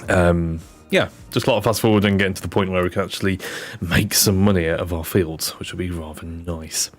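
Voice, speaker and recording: A man's voice: deep voice, fairly even intonation, fairly quick, faint music behind. A young man with a blocked, nasal nose chatting casually on a game stream, rambling with lots of ums and restarts. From Britain. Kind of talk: casual live-stream chat.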